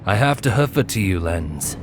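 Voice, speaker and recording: A man's voice: fairly low pitch, animated, normal speed, music in the background, natural voice. A male pony assassin speaks with cocky, taunting menace, performed by a man reading a story. He sounds smug and in control. He is a fantasy audiobook villain.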